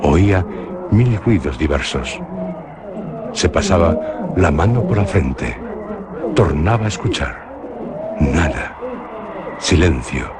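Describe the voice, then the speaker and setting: A man with a Spanish accent narrating a suspenseful radio drama in a slow, grave, measured way. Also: a bass voice, animated, over a noisy crowd. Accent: Spain.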